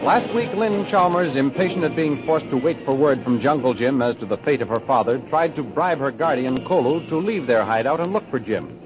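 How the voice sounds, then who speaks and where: A man's voice: mid-range voice, lively intonation, fairly quick, few pauses. A man narrating an old-time radio serial in a formal, dramatic announcer's delivery. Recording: dull old radio recording with music.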